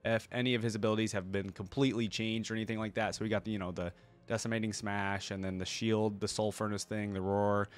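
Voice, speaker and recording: A man's voice: fairly low pitch, flat delivery, fast. Informal man reacting over music. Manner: informal, slangy, exclamatory. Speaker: reaction video host. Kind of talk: casual reaction commentary.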